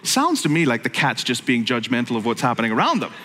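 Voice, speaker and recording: A man's voice: mid-range voice, huge pitch swings, fast talker, bright, forceful tone, big-room sound. A middle-aged man from Northern Ireland preaching a sermon in a joking, conversational way that keeps breaking off into asides. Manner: jokey, conversational, self-interrupting. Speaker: pastor.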